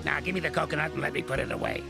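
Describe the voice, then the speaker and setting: A man's voice: a little high, steady tone, rapid-fire, creaky, over loud music. An actor playing a grumpy old male ape in a cartoon, a teasing prankster who scolds and calls names. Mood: scolding. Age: older. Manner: scolding, teasing, name-calling. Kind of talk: cartoon voice acting.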